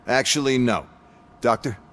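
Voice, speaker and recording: A man's voice: mid-range voice, moderate pace, fairly bright tone, natural voice. Man playing sneering, callous corporate bully. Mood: sarcastic. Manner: sarcastic, taunting.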